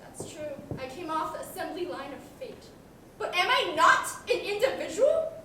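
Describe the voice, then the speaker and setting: Very high, swooping, martyred washing machine played by a woman. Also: sing-song, moderate pace, sharp tone, exaggerated voice. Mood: martyred, self-pitying. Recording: distant mic, echoey noisy hall.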